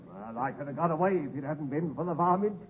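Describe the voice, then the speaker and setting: An actor playing a desperate, dogged escaped convict, rough and emphatic, in 1950s radio drama style. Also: male voice, on the high side, lively intonation, quick pace, close to an everyday voice. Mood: defiant, desperate. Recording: tinny, noisy 1950s radio broadcast.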